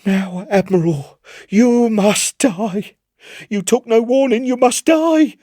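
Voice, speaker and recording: A man's voice: high voice, slow, dark tone, dry recording, studio quality, pitched above the performer's own voice. A man voicing a terrified young ship's carpenter in a storybook reading, pleading desperately and fervently. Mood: frantic doom.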